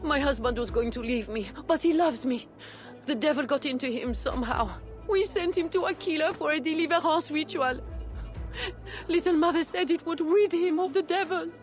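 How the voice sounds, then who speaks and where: A woman's voice: sits high, normal speed, soft background music, close to an everyday voice. A woman playing an anxious, guilt-ridden devout wife in a video game, fretful and hesitant. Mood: distraught, worried. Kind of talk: video game dialogue acting.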